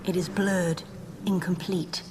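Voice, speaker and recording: A solemn spirit guardian from a fantasy game, somewhat low for a female voice. Her delivery is grave, formal and archaic, with clear noise behind it. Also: flat delivery, slow pace. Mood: grave, foreboding. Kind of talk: dramatic video game acting.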